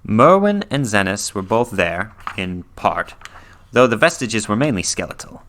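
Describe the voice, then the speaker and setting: A man giving a dramatic reading of a horror story, theatrical and sometimes dropping to a hushed, breathy tone. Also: fairly low pitch, big pitch swings, measured pace. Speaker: reader.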